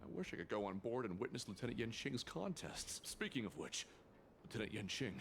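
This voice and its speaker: An actor playing an earnest, slightly flustered soldier on guard in a video game, hesitant and respectful. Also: male voice, medium pitch, big pitch swings, brisk, gravelly, slight background noise. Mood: wistful, respectful.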